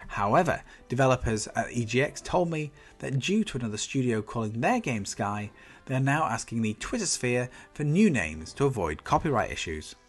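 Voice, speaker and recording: A man's voice: mid-range voice, very animated, normal speed, faint music behind. A man with a stuffy nose from a cold, reading an enthusiastic, upbeat scripted game roundup as a channel host. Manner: enthusiastic, upbeat review delivery.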